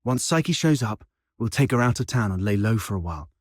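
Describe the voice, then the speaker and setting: An actor playing a worldly, streetwise schemer in a video game cutscene, with a wry, conversational way of talking. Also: male voice, fairly low pitch, animated, fairly quick, no room sound, studio quality. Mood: wry, confident.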